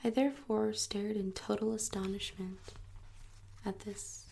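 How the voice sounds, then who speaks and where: A woman narrating a story in a soft, steady whisper, reading it like an audiobook. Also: medium pitch, a little slow, vocal fry, slight background noise.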